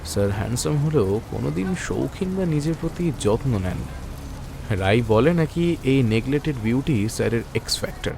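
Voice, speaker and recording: A man's voice: medium pitch, expressive, normal speed, creaky, noisy background. A man narrating a scripted detective story in a dramatic storytelling style.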